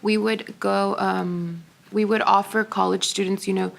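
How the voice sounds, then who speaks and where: A teenage girl presenter explaining a plan slowly and hesitantly. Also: medium pitch, little pitch movement, creaky. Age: teens. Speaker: student presenter.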